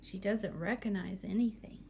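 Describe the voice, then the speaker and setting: A woman's voice: medium pitch, steady tone, moderate pace, gravelly, very noisy. A woman teacher reading a picture book aloud to students, expressively, with asides and questions for young listeners.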